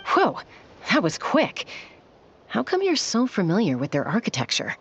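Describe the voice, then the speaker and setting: A woman's voice: medium pitch, wildly swooping pitch, normal speed, husky, exaggerated voice. A woman playing a level-headed, confident young woman leader in an anime game, frank and assured. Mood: inquisitive. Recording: slightly dull game capture with music.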